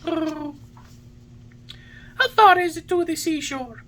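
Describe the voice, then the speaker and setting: A woman's voice: very high voice, lively intonation, moderate pace, bright, forceful tone, pitched above the performer's own voice, heavily acted. A woman voicing a childlike, emotional little wooden puppet boy in a storybook, with a plaintive, exclamatory delivery. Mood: pleading, anxious.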